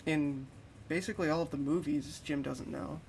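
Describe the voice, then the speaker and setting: A man's voice: on the high side, steady tone, moderate pace. A man livestreaming in casual chat, informal and a little hesitant in how he puts things. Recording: clearly noisy background.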